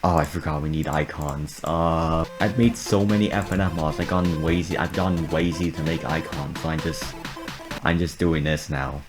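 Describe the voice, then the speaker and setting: A man's voice: extremely low pitch, steady tone, moderate pace. A man giving casual gaming video commentary as a video creator. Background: background music.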